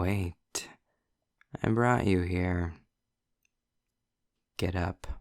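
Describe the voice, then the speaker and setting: Man playing a slow, gravelly, sardonic demon. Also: a little mellow, no room sound, studio quality, full character voice. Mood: mocking, impatient. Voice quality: low, gravelly, husky with breathy drops. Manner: sardonic, theatrical, condescending. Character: snarky, impatient male demon trapped in his own hell. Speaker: audio roleplay performer. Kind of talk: scripted audio roleplay, addressed to the listener.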